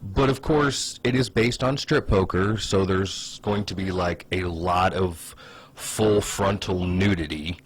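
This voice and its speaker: Low husky dude doing casual funny commentary, chatty, says like a lot. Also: male voice, slow pace. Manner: conversational, hesitant asides, uses "like" often. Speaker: online video host. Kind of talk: casual comedic commentary, pitching ideas.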